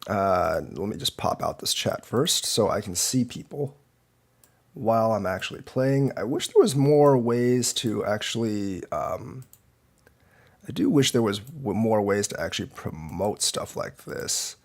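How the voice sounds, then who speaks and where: A man's voice: on the low side, measured pace, creaky. A man with a slight cold and a slightly congested voice, rambling as he commentates his own board game live and critiques the moves. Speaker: go teacher streamer.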